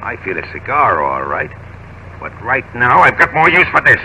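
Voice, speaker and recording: An actor playing a tough, armed male gangster in an old radio drama, gruff and blunt. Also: medium pitch, sing-song, normal speed, pushed and bright, heavily acted, low-quality recording. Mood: menacing. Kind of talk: 1930s radio drama acting. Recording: old radio broadcast with hiss.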